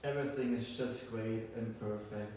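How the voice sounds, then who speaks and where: A man's voice: on the low side, fairly even intonation, darkish tone. Echoey, distant male storyteller. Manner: halting, repeats words and phrases. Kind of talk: live folk tale telling to a group. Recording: echoey room, far from mic, faint noise.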